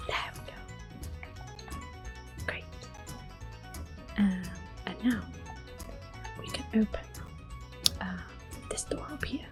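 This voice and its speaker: A woman giving gentle, unhurried ASMR game commentary in a breathy, whispery voice. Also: medium pitch, huge pitch swings. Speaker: gameplay host. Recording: music behind the voice. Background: soft game music.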